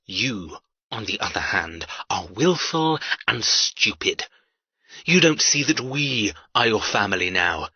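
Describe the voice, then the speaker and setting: A man playing a cold, domineering older patriarch in an audiobook, raspy, with clipped, formal, commanding speech. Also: fairly low pitch, big pitch swings, slow pace, sharp tone, no room sound, dull audio, full character voice. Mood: cold contempt. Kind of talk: dramatic audiobook character dialogue.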